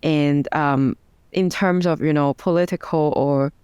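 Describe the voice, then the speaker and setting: A woman's voice: low voice, fairly even intonation, measured pace, darkish tone, clean tone, no room sound, dull audio. A woman with a Hong Kong accent answering interview questions, hesitant, with many ums and restarts. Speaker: guest, democracy advocate.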